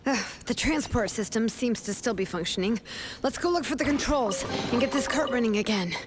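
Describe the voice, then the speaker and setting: An actress playing a confident, brisk young adventurer heroine in an anime-style video game, punchy and spirited. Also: female voice, fairly high pitch, expressive, close to an everyday voice, low-quality recording. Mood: practical. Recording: loud game music behind the voice.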